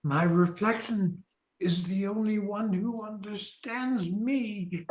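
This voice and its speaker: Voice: medium pitch, slow, a little mellow, natural voice. A woman playing a vain, lovesick young man in a radio comedy, melodramatic and swooning, in a roomy recording. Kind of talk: amateur radio comedy acting.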